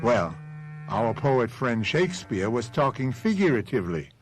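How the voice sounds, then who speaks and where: A man hosting a radio mystery drama, narrating from a script in a theatrical, wry manner with deliberate phrasing. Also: medium pitch, big pitch swings. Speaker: host and narrator.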